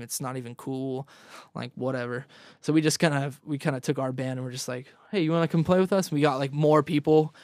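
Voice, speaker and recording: A young man with a somewhat high voice talks loosely in an interview, tripping over and repeating his words as he goes. The sound is dry and close, with no room around it. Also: no echo. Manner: rambling, repeats words, laughs often. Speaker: guest musician. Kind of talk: casual interview chat.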